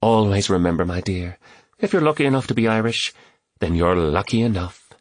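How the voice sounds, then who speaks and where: A man's voice: on the low side, expressive, brisk, no echo. A male narrator voicing a witty woman in an audiobook, arch and quipping as she playfully spars. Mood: fond advice. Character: witty woman, playfully sparring, teasing dinner guest.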